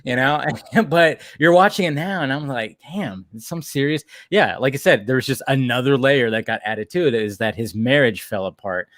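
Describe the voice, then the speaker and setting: Male podcast co-host, mid-range pitch, informal rambling recap style with swearing and quoted bits, very dry recording. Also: lively intonation, moderate pace, hardly any pauses, a bit bright, no echo. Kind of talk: casual chat, episode recap.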